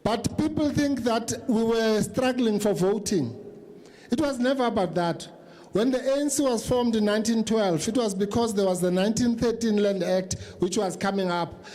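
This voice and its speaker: A mature man with a South African accent making a halting, explanatory argument in an unscripted political debate. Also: high voice, darkish tone. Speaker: advocate, political panellist. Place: public town hall event.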